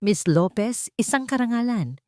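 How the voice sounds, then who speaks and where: A woman storyteller voicing a cold, commanding female colonel, calm and cutting, with slow, clear words. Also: fairly high pitch, no echo, studio quality, pitched above the performer's own voice, close to an everyday voice. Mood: icy politeness.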